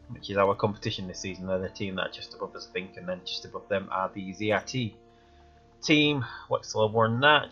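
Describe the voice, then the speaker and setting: Gravelly, bright-toned man with a head cold, thinking aloud as he plays through a game, dull recording with faint music behind. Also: medium pitch. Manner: rambling, thinking aloud while playing. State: stuffy, sniffly with a cold. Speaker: let's play gamer. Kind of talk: casual gameplay commentary.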